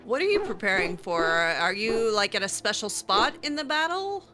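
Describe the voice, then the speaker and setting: A woman's voice: medium pitch, big pitch swings, normal speed, music in the background. A woman role-playing her character in a video game, improvising her replies in casual, hesitant, conversational phrases. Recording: faint background noise.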